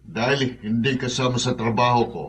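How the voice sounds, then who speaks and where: A man's voice: mid-range voice, steady tone, moderate pace, slight background noise, lightly acted. An actor playing an earnest, steadfast, God-fearing father in a sincere Filipino radio drama style. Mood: firm, indignant.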